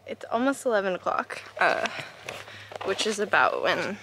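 A woman's voice: mid-range voice, big pitch swings, normal speed, noisy background. A young woman in her twenties chatting casually to a handheld camera outdoors, eager about birds and bats. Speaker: travel vlogger. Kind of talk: casual travel vlog. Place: outdoors in hot bush and at a cave site. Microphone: camera held at arm's length.

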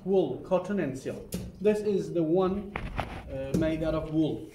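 A man's voice: fairly high pitch, unhurried. A man with a Turkish accent, guiding visitors through a carpet workshop and patiently explaining each step, checking in with "okay?" as he goes. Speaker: carpet factory tour guide. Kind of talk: guided tour explanation. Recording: echoey room, from a distance, noisy.

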